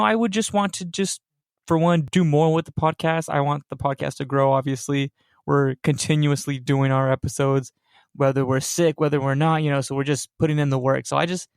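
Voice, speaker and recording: A man's voice: a little high, creaky, clean tone, dry recording, studio quality. A young man hosting a casual supernatural podcast, rambling with fillers and tag questions like "right?". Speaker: podcast host.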